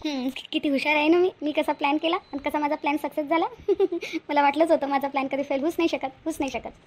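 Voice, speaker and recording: A woman voices a clingy young schemer in a homemade cartoon. Her speech is high and fast, sweetly coaxing, with phrases said over again. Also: steady tone, lightly acted. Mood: smug. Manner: coaxing, pleading, repetitive.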